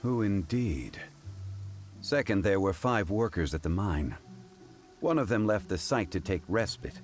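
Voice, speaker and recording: A man voicing a calm, learned, dignified figure in a video game, speaking formally and deliberately. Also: lowish voice, faint music behind. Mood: explaining.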